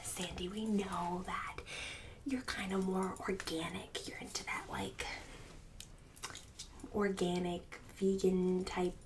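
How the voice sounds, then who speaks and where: A woman's voice: on the low side, little pitch movement, takes it slowly, pauses often, far from the mic, background noise. A woman playing a snobbish, bossy suburban book club hostess in an ASMR roleplay, condescending and catty with fake-sweet insults. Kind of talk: ASMR roleplay, whispered and soft-spoken.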